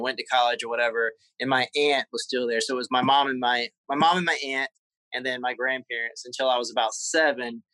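A man with a Southern accent from South Carolina, telling his life story as an interview guest, often pausing and repeating words. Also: mid-range voice, sharp tone, dry recording, poor audio. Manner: halting, repeats words while recalling memories. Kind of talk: casual interview conversation.

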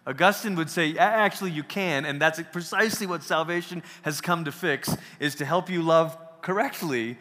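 Bright-voiced man lecturing in a hall. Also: on the high side, normal speed, few pauses. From Malaysia. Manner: conversational, asks the audience questions, restarts, laughs easily. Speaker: workshop speaker. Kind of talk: casual lecture on theology.

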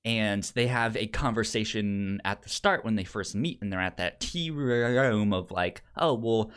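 Halting, stuttering podcast co-host. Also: medium pitch, fairly bright tone, smooth. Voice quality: frequent stutter, repeating sounds and syllables. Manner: halting, hesitant delivery. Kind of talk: casual film discussion.

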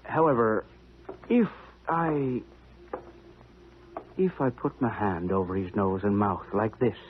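An actor playing a blunt, wheedling body-snatcher, rough and familiar, in a 1950s radio drama. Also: male voice, lowish voice, slow pace. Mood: coolly scheming. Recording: tinny, noisy old 1950s radio broadcast.

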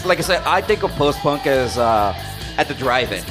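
A man's voice: medium pitch, lively intonation, normal speed, music in the background. A man co-hosting a podcast in casual, opinionated banter, questioning things and swearing freely. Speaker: podcast co-host. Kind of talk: casual, bantering music chat.

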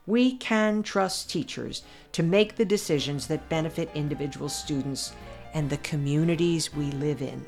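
Measured woman reading her essay, lowish voice. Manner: measured, deliberate reading with emphatic pauses. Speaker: podcast commentator.

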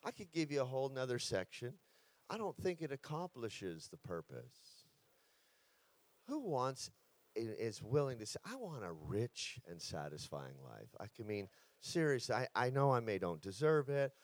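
A man's voice: medium pitch, wildly swooping pitch, normal speed, no room sound. A man in his fifties preaching a sermon as a guest pastor, then praying aloud with rising fervor, declaring each line. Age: 50s. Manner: builds to loud fervent declarations in prayer. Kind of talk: sermon and prayer.

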